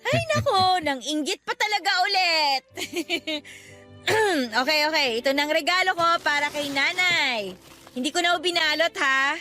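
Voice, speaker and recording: A woman's voice: sits high, animated, a little slow, sharp tone, faint music behind, heavily acted. A woman playing a joking, affectionate young Filipina daughter in a Tagalog radio drama. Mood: cheeky. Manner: joking, mock-complaining.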